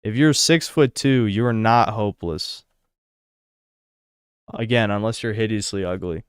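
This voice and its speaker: A man's voice: fairly low pitch, unhurried, clear, resonant tone, no room sound, clean studio sound. A man hosting a livestream and answering donations in blunt, crude, profane casual chat. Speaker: livestream host reading donations.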